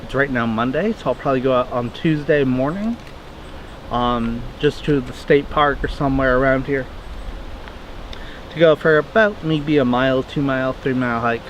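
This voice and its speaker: A man's voice: medium pitch, normal speed, a little hiss or hum, low-quality recording. A man in his twenties chatting casually outdoors in a wooded yard, rambling and correcting himself as he answers viewer questions. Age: 20s. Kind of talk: casual Q&A vlog.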